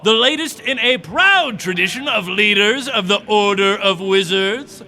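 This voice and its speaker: High, bright, pompous fantasy ruler played by a man. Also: animated, unhurried, full character voice. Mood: grandiose. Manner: grandiose speechifying with petulant asides. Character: pompous, excitable fantasy kingdom leader giving proclamations. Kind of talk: comedic fantasy audio drama. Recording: music and faint crowd behind.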